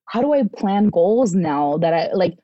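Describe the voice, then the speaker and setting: A woman in her twenties, a podcast guest in casual chat, animated and swearing now and then as she acts out what people said. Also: fairly low pitch, a little slow, dry recording, muffled recording. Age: 20s.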